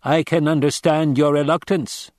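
A man reading an audiobook, voicing a blunt, cryptic male desert tribesman who speaks slowly and asks direct questions. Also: fairly high pitch, steady tone, a little mellow, no room sound, clean studio sound, voice pushed up, natural voice. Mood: stern, blunt. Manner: slow, measured, direct questioning. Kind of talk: audiobook character voice.

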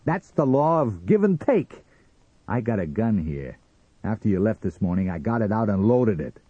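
A man voicing a brash, boastful, hot-tempered hot dog vendor in an audiobook story, loud and blustering. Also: lowish voice, expressive, a little fast, husky, dry recording, phone-quality sound. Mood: angry, belligerent. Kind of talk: dramatised audiobook character reading.

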